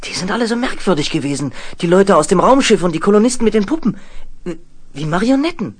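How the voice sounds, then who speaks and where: A man acting a frightened, earnest teenage orphan boy in a 1970s German radio play, husky, urgent and dramatic. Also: high voice, big pitch swings, fast talker. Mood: urgent, anxious. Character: frightened sixteen-year-old orphan boy, shape-shifting mutant, earnest.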